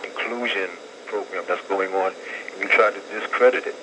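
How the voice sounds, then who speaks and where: A man's voice: low voice, steady tone, normal speed. A man from calling a radio show, argumentative and talking over the host. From Brooklyn. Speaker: caller. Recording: noisy radio phone line.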